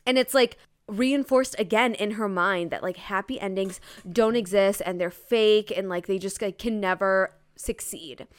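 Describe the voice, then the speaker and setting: A woman's voice: mid-range voice, measured pace, clean tone. A woman co-hosting a podcast in casual chat, gushing and emphatic, with lots of informal filler words. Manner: frequent "like" filler, informal youthful phrasing. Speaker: podcast co-host. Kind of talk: casual chat about a romance novel.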